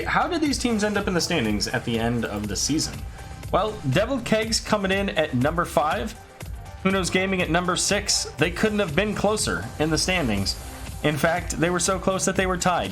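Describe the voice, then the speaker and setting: A man casting an esports match alone, calling plays quickly and then chatting loosely, his voice tired and a little raspy late at night. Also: a little high. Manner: quick excited calls, then loose rambling sign-off. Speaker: esports caster. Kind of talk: solo esports play-by-play commentary.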